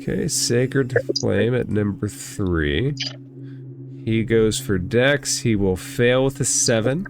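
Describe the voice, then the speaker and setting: A man's voice: lowish voice, lively intonation, slow pace, creaky, faint music behind. A man acting as game master, narrating a tabletop fantasy game in a casual, descriptive way.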